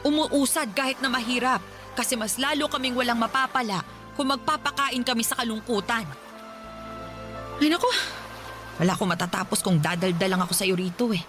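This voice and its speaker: Casting: actress as stern, nagging widowed mother, middle-aged, Filipino accent, highish voice, radio drama over background music. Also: fairly quick, natural voice. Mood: scolding, exasperated. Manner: scolding, insistent, exasperated. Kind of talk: Tagalog radio drama acting.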